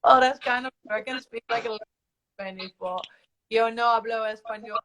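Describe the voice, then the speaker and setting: A woman's voice: fairly high pitch, brisk, fairly bright tone, lo-fi sound. A Dutch woman with a Dutch accent, chatting as a guest, bubbly and laughing, with hesitant restarts. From Netherlands. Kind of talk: casual chat. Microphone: phone.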